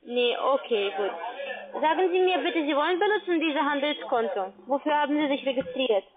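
A woman's voice: high voice, expressive, normal speed, crowd noise. A woman with a foreign accent, a non-native speaker, questioning a customer as a call centre agent. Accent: foreign accent in German. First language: not German. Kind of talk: phone sales questioning. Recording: tinny narrowband phone line.

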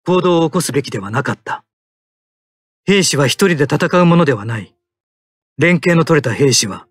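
A man's voice: mid-range voice, husky, no room sound. An actor playing a stoic young male mecha pilot in an anime combat game, speaking in terse, tactical military phrases.

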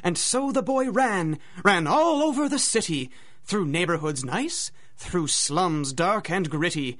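A woman's voice: fairly low pitch, animated, unhurried. A woman narrating a scripted rhymed adventure story, with a dramatic, singsong rhythm.

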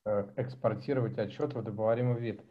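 A man's voice: lowish voice, fast. A man in his forties answering questions at a tech meetup over a video call from a small room at home, talking casually and animatedly. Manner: animated, gestures a lot, chatty and informal. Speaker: conference speaker answering questions. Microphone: laptop webcam mic on video call. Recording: slightly dull video call audio.

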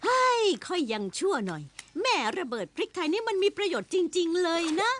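Cartoon schoolboy: whiny, pleading, lazy schemer, very high and swooping. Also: female voice, sing-song, a little fast, fairly bright tone, slight background noise, full character voice. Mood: eager, smug. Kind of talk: Thai cartoon dubbing.

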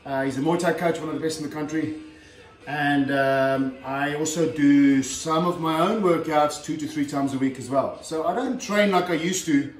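A man's voice: on the high side, moderate pace. A middle-aged man, a former heavyweight fighter, chatting casually while he demonstrates cooking in his home kitchen, recorded by a camera set across the counter. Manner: chatty, plain-spoken, lots of fillers and "okay". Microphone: far camera on the counter. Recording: roomy kitchen sound from a distance, faint music.

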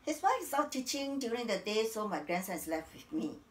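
Dramatic old Singaporean matriarch, echoey room. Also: female voice, a little high, sing-song, a little fast. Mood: resigned, wistful. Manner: theatrical, swinging from hushed narration to indignant outbursts. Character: embittered, domineering old Chinese matriarch, widow and grandmother. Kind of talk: dramatic stage monologue, acting out remembered scenes. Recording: roomy, echoing, distant stage-like sound.

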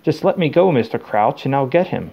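A man's voice: medium pitch, animated, brisk, vocal fry, lo-fi sound. Man as urgent, concerned teenage schoolboy. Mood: firm, concerned. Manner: cautious, urgent, firm.

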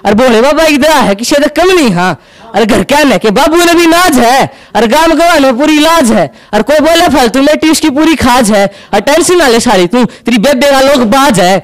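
A young man with a Haryanvi accent doing stand-up storytelling on an indoor stage into a handheld mic, acting out quick back-and-forth dialogue. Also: very high-pitched, lively intonation, poor audio. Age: young adult. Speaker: stand-up comedian. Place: indoor stage with curtain backdrop.

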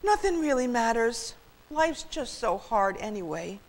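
Woman as gloomy young tom turkey, lazy, high-pitched, whiny, slow sighing drawl, storybook character. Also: big pitch swings, rough recording. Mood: weary, whining.

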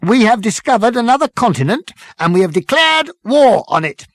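Narrator doing an eager army officer guy, high and loud, clipped, blustering, comic story reading. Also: very animated, moderate pace, no echo. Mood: zealous. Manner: clipped, military, zealous. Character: eager, blustering military second-in-command man.

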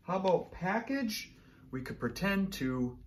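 A man in his thirties, a teacher reading a picture book aloud to young children in an encouraging, animated way. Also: sits high, measured pace, a little hiss or hum. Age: 30s. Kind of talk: children's storybook read-aloud.

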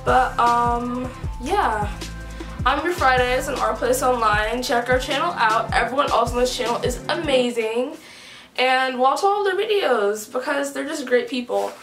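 A woman's voice: sits high, a little slow, reverberant, over music. A fifteen-year-old girl from the East Coast chatting casually to camera in her bedroom, rambling upbeat with lots of "like" and "whatever". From United States, East Coast. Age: teenager, 15. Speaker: vlogger on a shared channel. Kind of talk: casual get-to-know-me chat to camera. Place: small bedroom indoors. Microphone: camera mic at close range.

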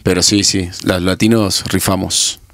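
Argentine man with a low voice. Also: little pitch movement, unhurried, a bit bright. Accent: Argentine Spanish. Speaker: podcast guest. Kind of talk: casual storytelling. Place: small padded podcast studio. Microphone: studio mic on boom arm.

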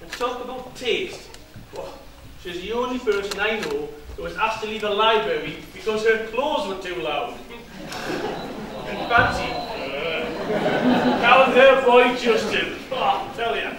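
Man playing pantomime joker, cheeky, high voice, exaggerated stage delivery, distant noisy recording. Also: expressive, a little slow. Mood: cheeky storytelling. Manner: exaggerated, playful comic delivery to the crowd. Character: cheeky male village joker in pantomime, cracking jokes. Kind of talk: pantomime stage comedy, addressing the audience. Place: theatre stage, distant microphone. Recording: echoey theatre stage from far off, noisy.

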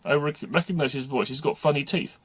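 A man's voice: a little high, fairly even intonation, a little fast, a bit bright, breathy edge, no echo, pitched above the performer's own voice. A man voicing an earnest, fretful and easily upset schoolgirl with a polite manner.

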